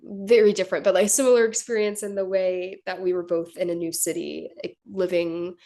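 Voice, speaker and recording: A young actress chatting casually in an interview, with lots of likes and ums. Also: female voice, fairly low pitch, little pitch movement, a little fast, mellow. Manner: casual, chatty, filler-heavy. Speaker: actor. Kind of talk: press junket interview.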